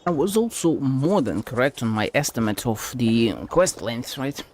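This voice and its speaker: A man doing casual livestream game commentary, with a gravelly creak and loose, playful, crude asides. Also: mid-range voice, very animated, normal speed, darkish tone. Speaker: game streamer.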